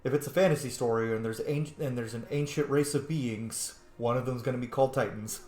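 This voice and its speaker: Guy doing chatty casual lets play commentary, enthusiastic, normal pitch, really echoey room. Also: steady tone, fairly quick, darkish tone, soft background music. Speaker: gaming host doing a roleplay playthrough. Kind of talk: casual play-by-play commentary with fan lore asides.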